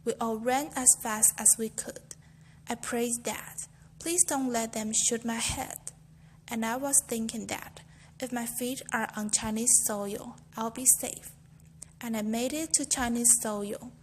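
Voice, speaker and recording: Young female student, careful earnest recitation, slightly high voice, light background noise. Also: measured pace. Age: young adult. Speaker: student reciting a famous speech. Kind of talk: memorized speech recitation.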